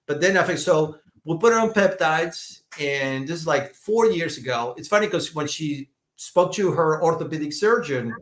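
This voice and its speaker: A man's voice: a little high, moderate pace, few pauses. Fifty-something man telling stories in an echoey room. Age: 50s. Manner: lively storytelling with frequent restarts and repeated words. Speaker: functional medicine doctor, guest. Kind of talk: conversational podcast interview.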